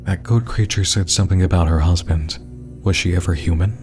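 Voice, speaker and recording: A man reads as a haunted, defiant male investigator in a web horror mystery. His low voice gives a dramatic first-person account, with music clearly underneath. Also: brisk, darkish tone, lightly acted. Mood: wary.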